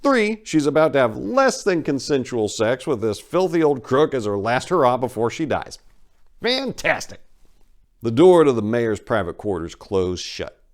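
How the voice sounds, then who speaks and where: A man's voice: medium pitch, lively intonation, a little slow. A man narrating a crime story as a dramatic scripted reading.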